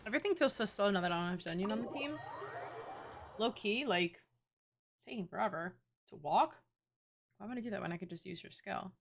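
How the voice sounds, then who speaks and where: A young woman streaming a game, giving casual, slangy and expressive reactive commentary. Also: medium pitch, a little fast, creaky, long pauses.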